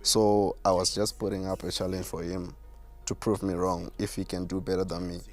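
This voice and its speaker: A man with a deep voice, a self-assured artist answering interview questions. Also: flat delivery, moderate pace. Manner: self-assured, boastful about his skill. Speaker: rapper and artist, guest.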